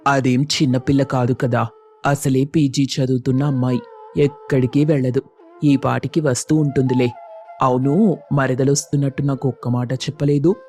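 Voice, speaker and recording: Man acting a mellow, joking husband. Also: medium pitch, level tone, normal speed, soft background music, lightly acted. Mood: teasing. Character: joking, teasing husband and brother-in-law.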